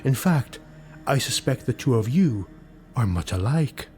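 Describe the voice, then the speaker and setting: A man's voice: medium pitch, animated, measured pace, a little mellow, soft background music, close to an everyday voice. A man voicing a sly, mocking rogue retainer in an audiobook, deferential and insinuating. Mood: assured, deferential.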